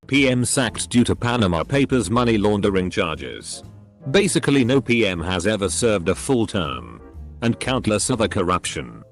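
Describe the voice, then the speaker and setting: Low-voiced English man narrating posts. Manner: reads one post after another in a steady, measured delivery. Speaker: narrator reading online posts. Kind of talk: scripted narration.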